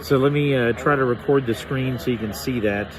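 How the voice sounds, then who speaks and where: A man's voice: mid-range voice, brisk, a crowd in the background, low-quality recording. A man giving a casual hands-on demonstration as a hobbyist, hesitant and thinking aloud as he goes. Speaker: hobbyist reviewer demonstrating a shortwave radio.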